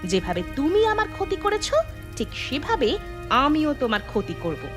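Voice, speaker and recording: A woman's voice: high voice, big pitch swings, moderate pace. A woman playing a jealous, scheming younger daughter-in-law in a cartoon, with a sneering, gloating, theatrical delivery. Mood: vengeful. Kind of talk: Bengali moral-tale cartoon acting. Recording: music under the voice.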